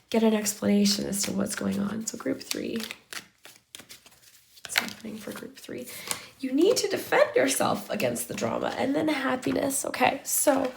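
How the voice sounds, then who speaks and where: A woman giving a chatty tarot reading straight to her viewers while losing her voice, sounding hoarse and sometimes sinking to a whisper. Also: fairly high pitch, a little hiss or hum. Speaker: tarot reader. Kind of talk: pick-a-card tarot reading to camera.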